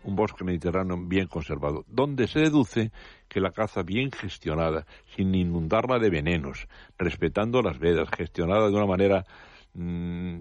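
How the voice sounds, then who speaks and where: A man's voice: sits low, lively intonation, normal speed, a little mellow, no room sound, highs cut off. An older man with a Spanish accent, explaining nature topics as a radio guest in a professorial, digressive way, with touches of humor. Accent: Spanish from Spain. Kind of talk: casual radio chat. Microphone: studio mic.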